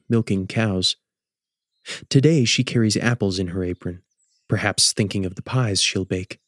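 A man hosting and narrating a radio drama as a measured, scripted storyteller. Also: on the low side, dry recording, studio quality. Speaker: host and narrator.

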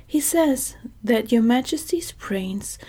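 A woman softly whispering the lines of a mischievous young maid in a storybook reading. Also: fairly high pitch, lively intonation, slow pace, husky, full character voice.

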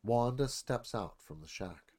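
Male narrator, lively swinging delivery, dramatic reading of stage directions. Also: mid-range voice, unhurried.

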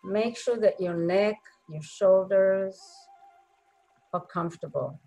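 A woman leading a guided meditation in a gentle, soothing manner, leaving long pauses between short phrases. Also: mid-range voice, soft background music, rough recording.

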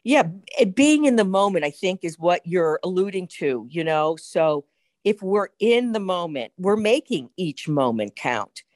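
A mature woman speaks as a talk show host in a conversational, explanatory way. Her pitch moves freely as she stresses her points, and the sound is dry and close. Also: very animated, a little slow, a little mellow, no room sound. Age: middle-aged or older. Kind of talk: conversational interview.

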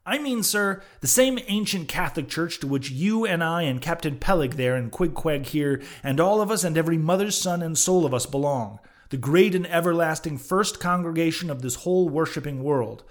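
A man voicing an earnest, quick-witted young sailor in an audiobook, polite, wordy and argumentative. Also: on the high side, animated, voice pushed up, natural voice. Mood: earnest, lofty. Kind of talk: audiobook character voice.

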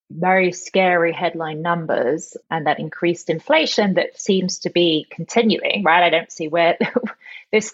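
A woman with a slightly low, husky voice speaks as a panelist, thoughtful and halting at times. The audio is dull and lo-fi. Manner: thoughtful, with restarts and light laughs. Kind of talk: casual panel discussion.

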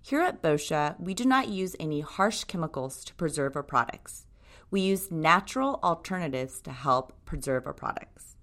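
A woman in her thirties from Japan hosts a podcast in a scripted solo talk. Her voice sits low, but her pitch moves widely, rising and falling with upbeat enthusiasm. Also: lively intonation, normal speed, fairly bright tone. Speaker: podcast host, brand co-creator.

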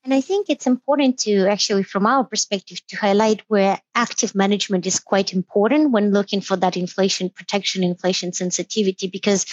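A woman's voice: medium pitch, darkish tone, no echo. Woman guest, dry, dull-sounding recording. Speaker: investment specialist guest. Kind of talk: conversational explanation.

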